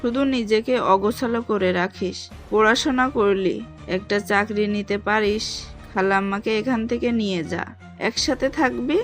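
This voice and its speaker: A woman's voice: mid-range voice, a little slow, faint music behind, lightly acted. A woman acting a caring, scolding young friend in an audio story drama. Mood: reassuring. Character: caring, scolding young servant-family girl, loyal friend.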